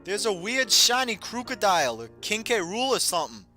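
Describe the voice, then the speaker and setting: A man voicing a small excited ghost in a high, shouty put-on voice, with music playing behind. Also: moderate pace, full character voice.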